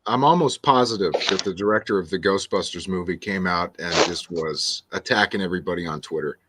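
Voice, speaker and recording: A man chatting casually on a live stream, talking in a relaxed, conversational way. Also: deep voice, fairly quick, heavy background noise.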